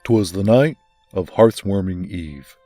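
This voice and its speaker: A man voicing a storyteller who recites a rhyming holiday poem in a slow, measured rhythm. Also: deep voice, lively intonation, dark tone, no room sound, faint music behind, heavily acted. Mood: storytelling. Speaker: voice actor. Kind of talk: fan dub rhyming storybook narration.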